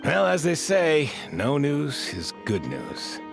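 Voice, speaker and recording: A man playing a calm, courteous adventurer in a video game, composed and thoughtful. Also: fairly high pitch, slow pace, gravelly, music in the background, heavily acted. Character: calm, courteous, observant adult male adventurer.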